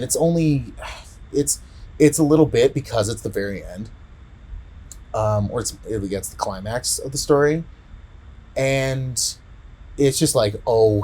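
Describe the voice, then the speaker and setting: A man in casual podcast chat, retelling a story in a rambling, halting way and often repeating words. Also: medium pitch, a little mellow. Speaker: podcast co-host.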